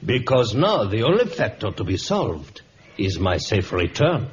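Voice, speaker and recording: Coolly threatening colonial officer; animated pitch, formal insinuating speech, muffled old radio sound. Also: male voice, a little hiss or hum. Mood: smug, threatening. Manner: formal, insinuating, deliberate phrasing. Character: scheming, smug colonial lieutenant, coolly threatening. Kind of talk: vintage radio drama acting.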